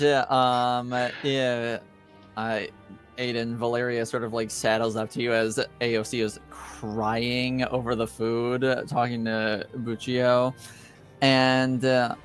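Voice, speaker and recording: A man narrating as game master of a tabletop game, improvising haltingly as he searches for words. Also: medium pitch, level tone, faint music behind.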